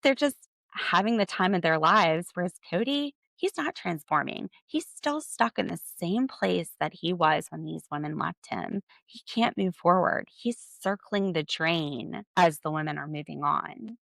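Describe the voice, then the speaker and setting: Gravelly American woman podcaster, dry close sound. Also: lowish voice, measured pace, hardly any pauses, no room sound. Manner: animated, sarcastic, slangy. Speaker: podcast host. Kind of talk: casual, opinionated TV recap commentary.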